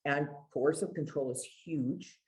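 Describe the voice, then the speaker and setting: A woman's voice: low-pitched, a little slow, a little mellow, poor audio. A middle-aged woman with a Canadian accent, speaking as a guest family lawyer in an earnest, conversational storytelling way.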